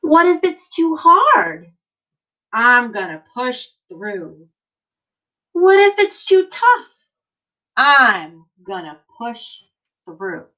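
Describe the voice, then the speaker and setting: A woman's voice: high-pitched, sing-song, drawn-out words, long pauses, sharp tone, rough recording. A woman in the role of a teacher reading a picture book aloud to children, speaking directly to them with emphatic encouragement.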